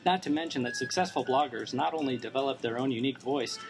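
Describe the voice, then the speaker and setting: A man's voice: medium pitch, fast talker, over music, natural voice. A man playing an earnest, defensive young informant, a personified blog, in an amateur noir radio drama, explaining himself.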